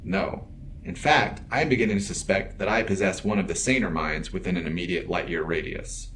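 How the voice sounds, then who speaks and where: An android security officer with a deep male voice. He is principled and literal, choosing formal, exact words as in a science fiction radio play. A faint ambient noise sits behind him. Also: a bit bright. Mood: dry, exasperated. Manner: precise, formal, measured wording. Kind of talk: science fiction audio drama acting.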